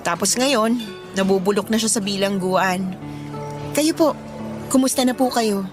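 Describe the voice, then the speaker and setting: A woman's voice: medium pitch, measured pace, music in the background, natural voice. An actress playing a respectful, devoted young woman carrying burdens, in a Filipino radio drama with a Filipino accent. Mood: polite, curious. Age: young adult.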